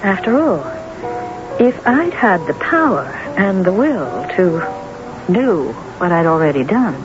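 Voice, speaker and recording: A woman's voice: fairly low pitch, big pitch swings, takes it slowly, lo-fi sound. An actress playing a vain, domineering woman novelist in a radio drama, insistent, easily wounded and emphatic, repeating herself for effect. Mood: smug, elated. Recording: band-limited vintage radio broadcast with music.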